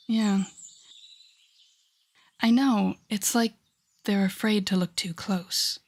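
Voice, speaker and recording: Low-voiced, teasing villainess played by a woman. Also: natural voice. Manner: wry, teasing, conversational. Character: teasing, worldly female telepath villain mentoring a rookie hero. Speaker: audio roleplay performer. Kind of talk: intimate second-person audio roleplay acting.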